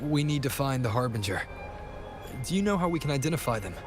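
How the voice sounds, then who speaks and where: An actor playing a heroic wanderer in a game, curious, decisive, composed and earnest. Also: male voice, medium pitch, sing-song, fast, music in the background, natural voice. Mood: resolute.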